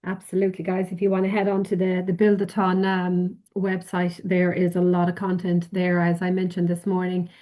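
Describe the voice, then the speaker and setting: A woman's voice: fairly low pitch, monotone, normal speed, few pauses, mellow. A woman moderating a live online training session over a video call. She speaks conversationally and addresses the audience directly. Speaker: webinar moderator.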